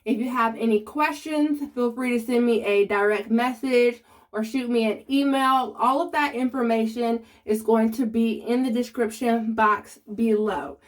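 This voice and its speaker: Woman vlogger, slight lisp, unhurried and higher-voiced, chats straight to camera. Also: steady tone. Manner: chatty, addresses viewers directly. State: slight lisp from new aligners. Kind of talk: casual how-to vlog.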